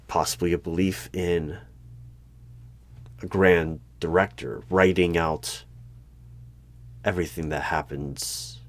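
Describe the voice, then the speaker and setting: A man playing an eerie, probing hiring manager in a one-sided ASMR interview roleplay, speaking deliberately with pauses between questions. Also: deep voice. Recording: background noise with keyboard typing and clicks. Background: computer keyboard typing.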